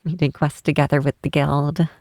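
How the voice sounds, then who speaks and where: A woman voicing a tall, shy, wistful half-troll cleric in a soft, hesitant ASMR roleplay, close to the microphone. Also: sits low, flat delivery, no echo. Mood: fond, amused. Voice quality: soft, breathy. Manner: hesitant, halting, tender. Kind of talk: ASMR roleplay, intimate softly spoken acting. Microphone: close microphone, dry.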